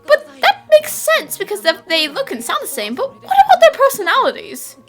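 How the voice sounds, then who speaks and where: Casting: young boy sidekick for a cartoon played by a woman, earnest and questioning, lively high pitch. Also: moderate pace, exaggerated voice. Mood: confused. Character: earnest, puzzled boy detective's assistant. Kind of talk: fan-dubbed cartoon acting.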